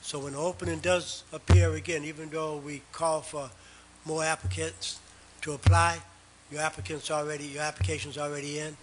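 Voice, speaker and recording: Guy chairing the meeting, kinda high voice, formal and a bit halting, faint noise. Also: little pitch movement, low-quality recording. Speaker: board chairman. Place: town board meeting room.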